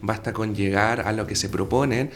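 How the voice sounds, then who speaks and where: A man's voice: on the low side, steady tone, normal speed. A man with a Chilean accent giving earnest, explanatory answers as an interview guest. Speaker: guest, secondary school science teacher.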